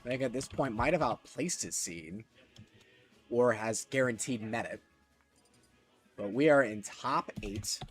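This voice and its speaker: Excitable male esports commentator. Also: unhurried, pauses often, soft background music. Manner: excitable, voice jumps high at big moments. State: losing his voice. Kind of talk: solo live tournament commentary. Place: small closet.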